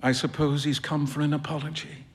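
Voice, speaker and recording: A man voicing a sulky, embarrassed young gentleman in a story read on stage. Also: on the high side, little pitch movement, fairly quick, close to an everyday voice. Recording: reverberant live hall.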